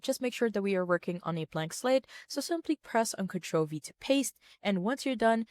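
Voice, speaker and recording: A woman narrating a step-by-step software tutorial in an orderly, plain-spoken, instructional way. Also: medium pitch, dry recording. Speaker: tutorial presenter.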